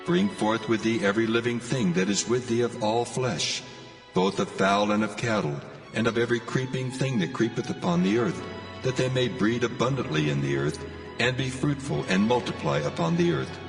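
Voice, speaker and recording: A man's voice: medium pitch, animated, normal speed, creaky. A man playing God in a dramatized scripture reading, solemn and commanding, declaiming archaic commands. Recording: long cavernous reverb, music underneath. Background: music.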